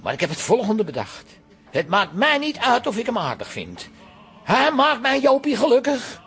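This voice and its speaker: A man's voice: extremely high pitch, huge pitch swings, normal speed, fairly bright tone, faint music behind, full character voice. An actor playing a possessive, hot-tempered father, emotional and blustering, in a Dutch radio comedy. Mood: resigned.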